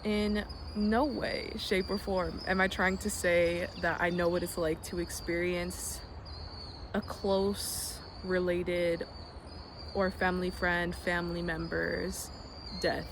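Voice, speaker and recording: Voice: medium pitch, little pitch movement, slow pace. A young adult vlogger from the United States, speaking in an earnest, reflective way with frequent ums and some casual swearing. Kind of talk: reflective personal vlog. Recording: noisy background with birds and passing vehicles.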